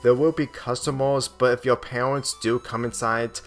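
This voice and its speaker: A man's voice: mid-range voice, steady tone, normal speed, voice pushed up, natural voice. A man voicing a friendly, easygoing young man in a fan fiction story, helpful in manner. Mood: reassuring. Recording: music under the voice.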